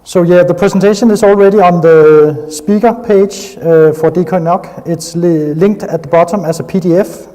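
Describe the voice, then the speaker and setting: A man with a Danish accent gives an enthusiastic technical talk at a conference, pausing often with hesitant fillers. His voice sits a little high yet sounds mellow and relaxed. The sound carries a long reverb from the hall. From Denmark. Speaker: conference speaker.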